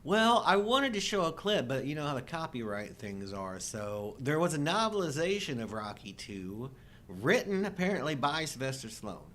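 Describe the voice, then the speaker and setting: A man's voice: big pitch swings, normal speed, a bit bright. A middle-aged man co-hosting a show, chatting casually about movies with joking commentary. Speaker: co-host of a live chat show. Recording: low rumble and background noise.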